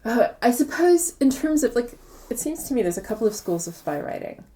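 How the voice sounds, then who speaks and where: A woman's voice: a little high, very animated, a little fast, dark tone. A woman speaking conversationally as an interviewed author, explaining things reflectively and repeating words for emphasis. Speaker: interviewed novelist.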